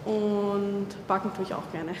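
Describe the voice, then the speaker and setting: A woman's voice: medium pitch, steady tone, measured pace, noisy background. An eighteen-year-old woman introducing herself at a model casting with confidence. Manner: confident, outgoing. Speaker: model contestant. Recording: echoey hall with music.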